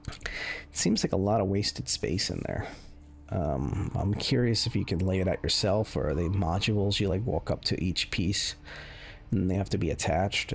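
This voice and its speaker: Low, gravelly-voiced man commentating. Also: a little hiss or hum. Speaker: gaming video host, commentator. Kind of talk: casual off-the-cuff commentary over game benchmark footage. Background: music under the voice.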